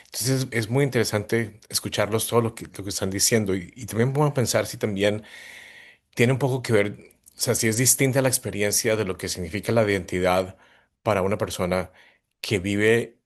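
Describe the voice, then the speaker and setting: A man from Colombia, moderating a discussion on a video call in a calm, reflective way. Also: on the low side, a little fast.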